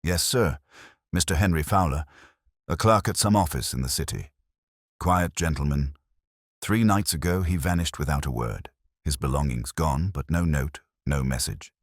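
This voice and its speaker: A man's voice: very deep voice, normal speed, dry recording, studio quality. A man voicing a harried, deferential working-class landlady, hesitant and uneasy, in an audiobook story. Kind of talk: audiobook detective story dialogue.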